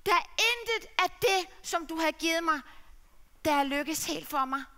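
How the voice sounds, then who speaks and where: A woman's voice: sits high, fairly even intonation, sharp tone, in a large hall. Woman voicing a forlorn young man. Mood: pleading. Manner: despairing, self-pitying. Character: lost, ashamed young man, son returning home.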